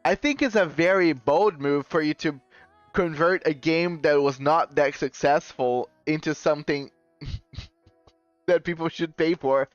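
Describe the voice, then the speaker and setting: A man's voice: on the high side, measured pace, no echo. Guy streaming and rambling to chat, keeps correcting himself, kinda dull sound, little music under it. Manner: rambling, self-correcting, chuckling. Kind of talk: casual live-stream chat with viewers.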